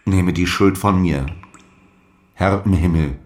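An actor playing a zealous apostle in a radio drama, declaiming solemn, archaic prophecies and defiant last letters. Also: male voice, low-pitched, takes it slowly, a little mellow. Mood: grave, devout. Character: fiery apostle dictating zealous letters, defiant before his death.

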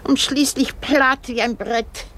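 A woman's voice: high-pitched, steady tone, takes it slowly, fairly bright tone, slight background noise. An actress playing a frail, complaining old woman in a German radio drama, fussy and theatrically lamenting, wry and full of self-pity. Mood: morbid, lamenting. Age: older.

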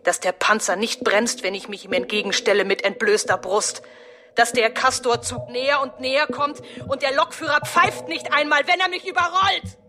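A woman's voice: fairly high pitch, moderate pace, pushed and bright. An actress playing a fearful young woman protester, speaking urgently in an anxious, half-whispered radio play monologue. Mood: panicked. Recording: studio radio play with music.

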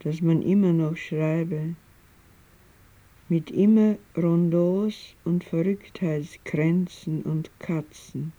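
A woman reciting a poetic literary text in measured, deliberate, short broken phrases. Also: lowish voice, fairly even intonation, dark tone, highs cut off.

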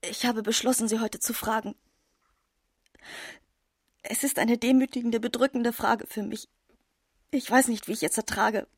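A proud young gentlewoman, wounded and pleading, in a literary radio play. She speaks formal, earnest in a raised register. The sound is dry and close. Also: fairly high pitch, steady tone, moderate pace, long pauses, breathy edge, no room sound. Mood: tense, humbled pride.

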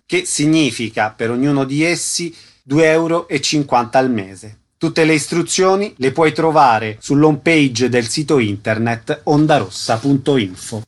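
A man's voice: medium pitch, unhurried. A man hosting a radio show, reading out scripted announcements. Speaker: radio host.

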